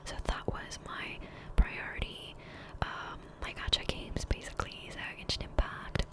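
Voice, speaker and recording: A woman whispering softly and breathily in a chatty, rambling ASMR style over gameplay, close to a condenser microphone. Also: measured pace. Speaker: gaming ASMR creator. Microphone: close USB condenser microphone.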